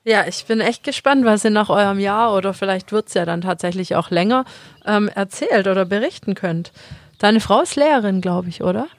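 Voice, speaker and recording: A man's voice: sits high, moderate pace, no echo. A man interviewing a guest in a friendly, chatty manner. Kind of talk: casual interview.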